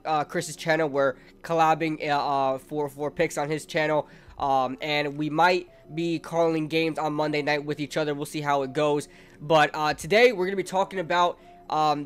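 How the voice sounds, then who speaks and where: A man's voice: fairly high pitch, fairly even intonation, normal speed, smooth. A young man hosting a casual football preview, chatty with lots of fillers and a congested, nasal sound from a cold. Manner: chatty, fan-like, frequent fillers. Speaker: sports channel host.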